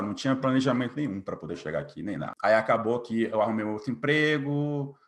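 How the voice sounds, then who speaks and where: A man in his late twenties with a Bahian Brazilian accent, telling his story informally as an interview guest, often adding little tag questions. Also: on the low side. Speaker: interview guest, software developer. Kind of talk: casual interview, personal story.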